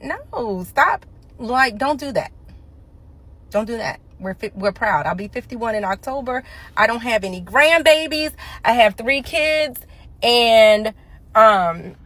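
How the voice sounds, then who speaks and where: A woman of fifty speaks straight into her phone in a casual, emphatic rant. Her voice sits a little high and swings up on her strongest points. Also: fairly bright tone. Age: 50s. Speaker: commentator.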